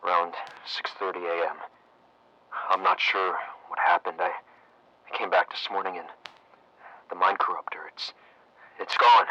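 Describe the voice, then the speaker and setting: A man playing a nervous 1960s history professor who talks in a hesitant, stammering, hushed way. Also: deep voice, little pitch movement, unhurried, long pauses, dull audio, heavily acted. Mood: shaken. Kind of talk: audio log narration.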